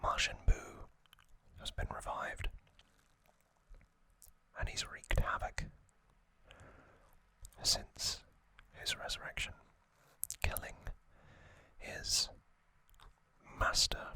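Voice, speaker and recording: Slow whispering ASMR man. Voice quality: breathy unvoiced whisper. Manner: deliberate, hushed, short phrases with pauses. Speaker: host of an ASMR series. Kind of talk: ASMR whispered trivia narration.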